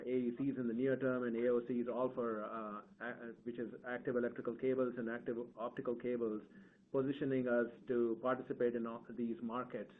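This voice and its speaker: A man speaking as a company executive, giving hesitant answers with frequent pauses on an earnings call. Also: medium pitch. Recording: narrow phone-like call line.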